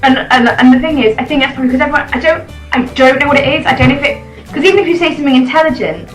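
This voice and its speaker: A woman's voice: sits high, very fast. A British woman in her early thirties chatting casually, with a dry, blunt, teasing manner. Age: early 30s. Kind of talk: casual chat between friends. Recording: echoey, poor-quality amateur audio, music behind.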